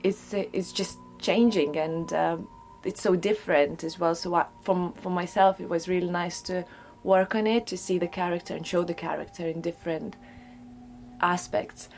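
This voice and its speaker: An actress talking enthusiastically in a behind-the-scenes interview. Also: female voice, on the low side, steady tone, moderate pace, darkish tone, faint music behind.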